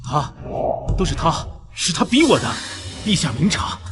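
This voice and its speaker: A man's voice: fairly high pitch, big pitch swings, normal speed, music in the background. An actor playing a scheming, cowardly nobleman in a costume drama. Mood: accusing, desperate.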